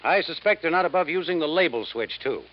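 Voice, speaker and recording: An actor playing a brisk, matter-of-fact insurance man briefing an investigator in a 1950s radio drama. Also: on the high side, expressive. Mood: wry, explanatory. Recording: dull 1950s radio recording.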